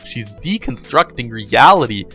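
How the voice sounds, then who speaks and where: A man's voice: medium pitch, very animated, measured pace, sharp tone, faint music behind, full character voice. A man voicing a wordy, sarcastic know-it-all with a pedantic manner in a dramatic story reading. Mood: pedantic explaining. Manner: sardonic, pedantic. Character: wordy, sarcastic know-it-all who grudgingly explains things.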